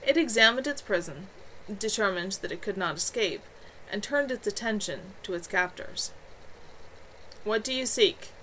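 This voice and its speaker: A woman's voice: medium pitch, animated, normal speed, fairly bright tone. A woman narrating a fantasy story aloud in an unpolished amateur manner on a home livestream. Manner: amateur, unpolished reading with stumbles. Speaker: author reading own novel. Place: at home, live stream. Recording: clearly noisy home livestream.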